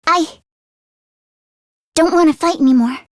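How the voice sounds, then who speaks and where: An earnest teenage girl fighter from a video game, pitched very high and recorded dry and close. She is anxious but tech-minded. Her delivery is expressive, with a hesitant stammer. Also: measured pace, dry recording. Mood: anxious, worried. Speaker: voice actress.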